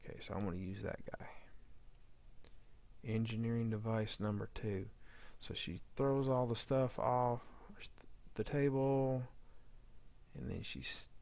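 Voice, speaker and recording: A man's voice: on the low side, vocal fry, a little mellow. A man narrating an informal editing demo as a tutorial, thinking aloud and muttering to himself as he works. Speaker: audio drama editor giving a tutorial.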